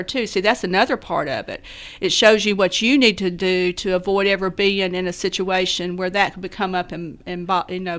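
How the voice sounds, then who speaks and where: A woman's voice: mid-range voice, fairly bright tone. A woman, a Sunday school teacher, talking earnestly as a guest on a radio interview, with many fillers and restarts. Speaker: guest, Rotary Club member. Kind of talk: casual radio interview talk. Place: radio studio.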